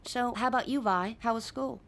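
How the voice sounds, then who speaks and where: An actress playing a busy middle-aged mom in a video game, attentive and mildly scolding. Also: female voice, a little high, brisk, vocal fry, a bit bright, slight background noise. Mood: chiding. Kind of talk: animated video game cutscene acting.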